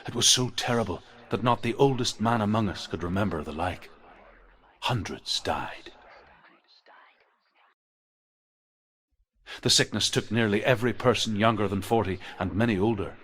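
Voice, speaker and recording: An actor playing a weathered, foreboding older storyteller in a game, with solemn and deliberate oratory. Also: male voice, on the low side, fairly bright tone. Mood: grave, foreboding. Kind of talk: dramatic folklore storytelling in a game. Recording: clear ambient noise behind voice.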